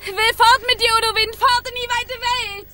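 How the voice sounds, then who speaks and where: A woman's voice: very high-pitched, fairly even intonation, moderate pace, pushed and bright, a little hiss or hum. An actress playing a wild, impatient young female fire spirit, playful and yearning, in theatrical children's radio play style. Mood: exuberant. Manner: theatrical, exclaiming.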